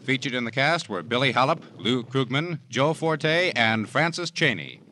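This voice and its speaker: A man's voice: medium pitch, expressive, moderate pace. A man reading scripted credits and promotions as a 1950s radio announcer. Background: loud music.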